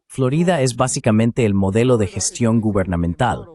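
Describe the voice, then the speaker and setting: A man's voice: on the low side, lively intonation, moderate pace, warm tone, natural voice. A man giving a translated voice-over of an interview guest's political analysis.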